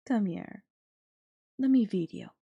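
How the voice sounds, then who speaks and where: A woman's voice: fairly high pitch, gravelly, slightly rough, lo-fi sound, exaggerated voice. A woman voicing a possessive yandere girlfriend in an audio roleplay, coaxing and teasing her captive with veiled threat. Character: possessive yandere girlfriend, sweetly menacing captor. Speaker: voice actor.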